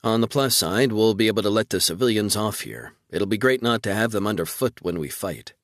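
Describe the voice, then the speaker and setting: A man's voice: lowish voice, animated, brisk, a bit bright, no room sound. Guy doing a straight-talking shrewd lady officer, sci-fi audiobook, dry sound. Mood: shrewd, frank. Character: shrewd, forthright woman crew officer. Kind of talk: audiobook character dialogue.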